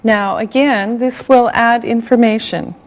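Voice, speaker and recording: A woman teaching a beginner English lesson. She speaks slowly and deliberately, saying one word at a time, repeating patterns and encouraging the class. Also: on the high side, steady tone. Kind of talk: scripted language lesson for beginners.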